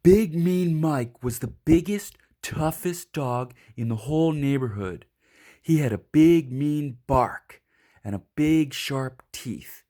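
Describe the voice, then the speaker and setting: Slow-paced man reading a children's storybook. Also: on the high side, animated, warm tone. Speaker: storybook reader. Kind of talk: expressive children's picture-book read-aloud.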